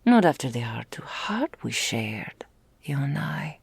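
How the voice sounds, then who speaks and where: A woman voicing a possessive, adoring dragon in human form for an intimate ASMR roleplay, with regal, old-fashioned phrasing and a breathy, often whispered delivery. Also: rumbling low, sing-song, heavily acted. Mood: fond reminiscing. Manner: slow, formal archaic phrasing, regal and doting. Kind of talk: intimate ASMR roleplay, scripted character acting. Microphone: close studio mic, dry.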